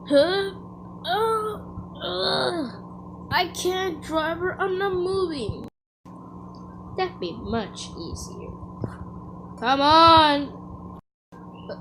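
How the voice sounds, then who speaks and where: Woman acting earnest cartoon train engine, high voice, slow drawn-out delivery, fretful yet kindly, noisy recording. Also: heavily acted. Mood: straining, anxious. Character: earnest, anxious old blue steam engine, cheerful and kindly. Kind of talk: animated children's series character lines.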